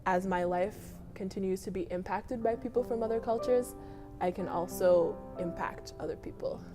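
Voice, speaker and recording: A woman from a family from Nigeria, giving thoughtful, measured interview answers. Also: medium pitch, music in the background.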